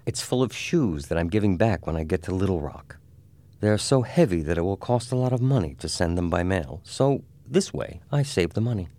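A man plays a cool, wry former thief in a storybook. His voice is low and composed, heard close and dry. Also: lively intonation, brisk, mellow, no echo. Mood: calm. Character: cool, wry reformed safecracker, young man.

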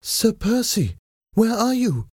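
A man's voice: fairly high pitch, lively intonation, a little slow, darkish tone, no room sound, studio quality, pitched above the performer's own voice. A man voicing an anxious, emotional noblewoman in an audiobook, earnest in the way the words are delivered. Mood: excited. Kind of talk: acted audiobook dialogue.

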